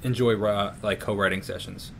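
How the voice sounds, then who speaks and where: A man's voice: lowish voice, fairly even intonation, normal speed, vocal fry, fairly bright tone, slight background noise. A young man with a New York accent hosting a casual interview for his music channel over a video call. He rambles and restarts his sentences often, with plenty of fillers.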